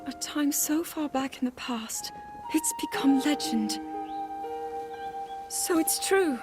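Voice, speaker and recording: A woman's voice: sits high, slow. A woman voicing an earnest, anxious and hesitant young princess in a video game cutscene. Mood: astonished. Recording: music behind the voice.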